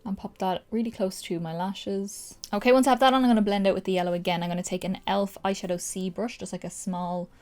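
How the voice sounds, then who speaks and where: A woman's voice: medium pitch, fairly even intonation, quick pace, few pauses, darkish tone, faint music behind. A young Irish woman with an Irish accent, chatting casually through a makeup tutorial, gushing and explaining each step as she goes. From Ireland. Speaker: makeup vlogger.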